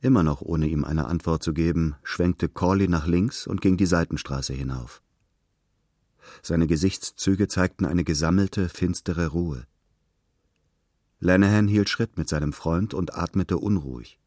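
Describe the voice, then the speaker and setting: A man with a deep voice narrates literary fiction from a script. The recording is very dry, and he delivers it as a storyteller reading prose. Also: measured pace, darkish tone, no room sound.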